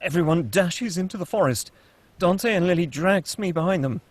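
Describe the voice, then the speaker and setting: A man's voice: high voice, lively intonation, normal speed, no room sound. A man narrating screenplay stage directions in a scripted table-read style.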